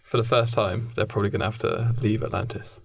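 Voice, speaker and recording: A man's voice: lowish voice, fairly even intonation, fast talker, vocal fry, breathy edge. A man co-hosting a podcast, casually making up a story as he talks. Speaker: podcast co-host. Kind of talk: casual improvised storytelling chat.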